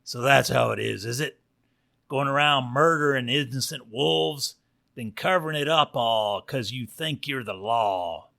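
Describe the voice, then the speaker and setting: A man's voice: mid-range voice, unhurried, vocal fry, sharp tone. Man doing a mean young western wolf gunslinger. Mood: bitter, accusing. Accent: American. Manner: menacing old-west drawl. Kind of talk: western storybook character voice.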